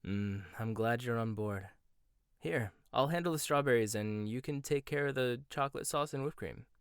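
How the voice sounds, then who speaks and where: A man performing a gentle, intimate ASMR roleplay monologue, hesitant at times and teasing at others. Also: medium pitch, clear, resonant tone, no echo, studio quality.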